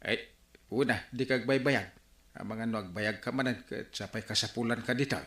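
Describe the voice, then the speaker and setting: A man's voice: mid-range voice, moderate pace, fairly bright tone, natural voice. A man playing a busy village uncle with an Ilocano accent in a radio comedy drama. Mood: brisk. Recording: roomy, echoing studio sound.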